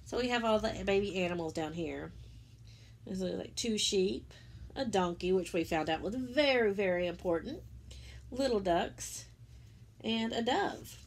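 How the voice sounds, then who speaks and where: A woman reading a children's religious picture book aloud as a story-time teacher, pausing for simple explanatory asides to young listeners. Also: mid-range voice, expressive, vocal fry.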